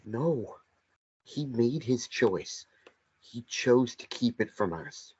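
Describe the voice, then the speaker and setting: A man voicing the ghostly memory of a dead lover in a table read of a stage play, speaking in a slow, gentle, earnest way. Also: mid-range voice, expressive, slight background noise. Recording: dull lo-fi sound.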